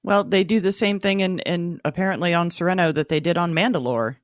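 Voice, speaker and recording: A woman's voice: fairly low pitch, brisk, dry recording. A woman chatting as a podcast co-host in a dry, close recording. She is conversational and reflective, explaining her thoughts in a casual book club discussion.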